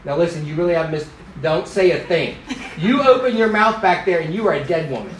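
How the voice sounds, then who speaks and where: A man in his fifties who grew up in Thailand, teaching a church class in an animated, joking way and teasing the people in it. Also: fairly high pitch, a little fast, background noise. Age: 50s. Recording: echoey room.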